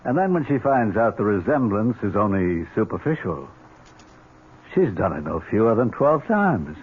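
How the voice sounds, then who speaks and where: Needling, amused uncle for vintage radio play, lowish voice, very lively inflection, mocking. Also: male voice, normal speed. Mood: smug. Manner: mocking, dry, drawling taunts. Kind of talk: 1970s radio drama acting. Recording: tinny old radio broadcast with hiss.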